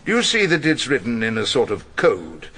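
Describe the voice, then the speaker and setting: Theatrical, wily old English barrister. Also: male voice, medium pitch, wildly swooping pitch, moderate pace, sharp tone, a little hiss or hum, exaggerated voice. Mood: probing. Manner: theatrical, probing, dryly ironic. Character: wily, irascible older male English defence barrister, narrating his case. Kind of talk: radio drama courtroom acting and narration.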